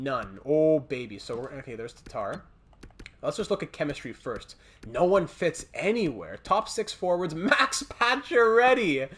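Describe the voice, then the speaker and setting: A man's voice: fairly high pitch, a bit bright. Man with a Montreal accent, livestreaming. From Montreal, Canada. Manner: thinking aloud, halting, breaking off to reply to chat. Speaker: livestreamer hosting a hockey video game stream. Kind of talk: casual livestream chat with game commentary.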